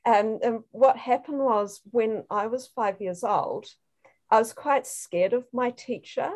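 Enthusiastic New Zealand woman, higher voice. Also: a little slow, a little mellow. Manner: enthusiastic storyteller. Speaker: guest researcher. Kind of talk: casual interview over video call.

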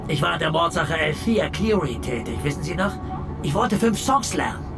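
An actor playing an eager, wheedling police detective in a radio crime drama, coaxing and coy. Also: male voice, fairly high pitch, animated, normal speed, music in the background. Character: eager, wheedling male police detective after piano lessons.

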